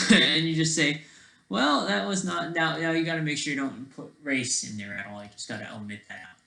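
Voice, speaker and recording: Casual video creator, mid-range pitch with heavy vocal fry, rambles and thinks out loud. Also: normal speed. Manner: rambling, thinking aloud, frequently digressing. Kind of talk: casual rambling screen-share commentary.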